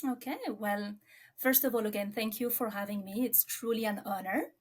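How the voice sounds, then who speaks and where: A woman's voice: mid-range voice, fairly even intonation, moderate pace. French-accented woman in an interview. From France. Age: 40s. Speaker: coach, interview guest. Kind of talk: reflective interview conversation.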